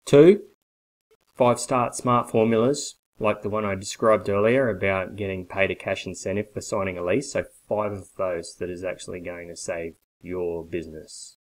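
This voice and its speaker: A man in his forties giving a blunt, persuasive sales pitch straight to a webcam from a small bare office. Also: steady tone, moderate pace, low-quality recording. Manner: blunt, persuasive, emphatic with hand gestures. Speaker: coffee business consultant. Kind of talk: direct-to-camera sales pitch. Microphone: webcam at desk.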